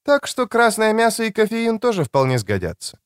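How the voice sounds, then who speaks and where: An elegant mature woman as a male narrator puts her on speaks in a lifted, high register with a tender, frank manner. She teases gently and cares openly, with soft expressiveness. It is audiobook character dialogue, recorded very dry. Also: wildly swooping pitch, moderate pace, smooth, no echo. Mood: gently teasing.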